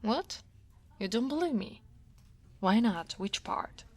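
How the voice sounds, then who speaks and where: Dragon office senpai voiced by an Italian woman, guarded workaholic, husky whisper, unhurried, wry sighs, ASMR roleplay. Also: on the high side, lively intonation. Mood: wistful. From Italy. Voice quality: soft, often whispered delivery. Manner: wry, self-deprecating, with frequent sighs and soft laughs.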